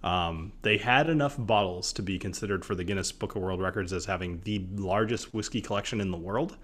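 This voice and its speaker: A man in his thirties with a fairly low voice hosts a casual live stream. He talks in a rambling, conversational way with a joking streak. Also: fairly quick, a bit bright. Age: 30s. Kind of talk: casual chat about whiskey on a live stream.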